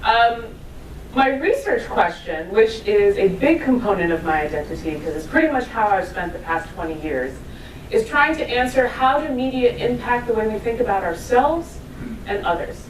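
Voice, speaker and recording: A woman's voice: fairly high pitch, animated, moderate pace, recorded from a distance, slight background noise. Woman lecturing in an echoey hall. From New York, USA. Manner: casual and self-deprecating, with asides and laughs. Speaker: speaker giving a talk. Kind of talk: conversational lecture to a live audience. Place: lecture room with audience. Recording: echoey room, voice from afar.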